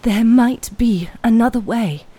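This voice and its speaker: Woman voicing a soft-spoken, solemn soprano mystic woman. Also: a little high, measured pace, breathy edge, pitched above the performer's own voice.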